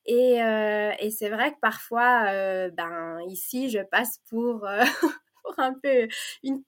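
A woman from Provence who grew up in Belgium speaks as a guest in an easy conversation. She pauses often, fills gaps with small sounds and restarts her sentences. Her tone stays earnest and heartfelt. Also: on the high side. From born in Provence, raised in Belgium. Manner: hesitant, many fillers and restarts, warm and earnest. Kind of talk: casual conversation.

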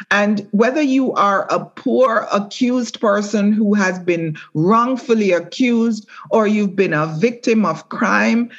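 A middle-aged woman, a former prosecutor and judge, speaking earnestly and in a measured way in an interview. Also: mid-range voice, dull audio. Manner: earnest, measured, with repeated restarts. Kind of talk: reflective interview talk.

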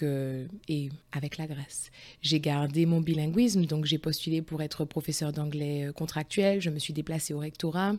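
Female interviewee, low pitch, candid personal storytelling with expressive, emphatic delivery.

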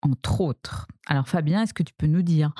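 A woman hosting a podcast, reading a measured prepared introduction before asking a question. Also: deep voice, warm tone, no echo, studio quality. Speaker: podcast host, interviewer.

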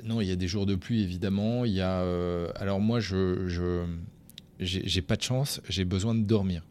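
A man's voice: deep voice, moderate pace. A man, a company founder and chief executive, talking frankly and casually as an interview guest, with lots of fillers and restarts. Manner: frank and colloquial, lively, with many fillers and restarts.

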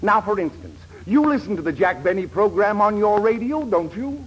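An actor playing a pushy, flattering advertising salesman in a 1940s radio comedy. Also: high voice, normal speed. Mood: flattering, persuasive. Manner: glib, flattering sales patter. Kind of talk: 1940s radio sitcom acting. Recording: noisy old 1940s radio broadcast.

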